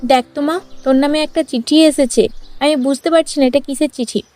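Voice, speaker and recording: A woman's voice: sits high, fairly even intonation, a little fast, darkish tone, close to an everyday voice. A woman voicing a protective, ageing village mother who admonishes her child emotionally in a cartoon story. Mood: puzzled. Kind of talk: dramatized cartoon story acting. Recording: music under the voice.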